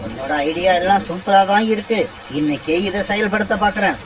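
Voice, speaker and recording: A woman's voice: mid-range voice, a little fast, natural voice, poor audio. A woman voicing a sneaky, timid male crow in a cartoon story. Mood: uneasy. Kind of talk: dubbed cartoon dialogue.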